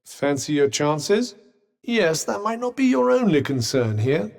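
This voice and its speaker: A wry, haughty British magical vambrace, reverberant. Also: male voice, on the high side, sing-song, a little slow, gravelly, a little mellow, exaggerated voice. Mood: dry, sardonic. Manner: dry, sardonic, formal, condescending understatement. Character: sentient magical vambrace, wry, haughty, fussily cautious male companion. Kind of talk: video game character dialogue. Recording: long reverberant echo on the voice.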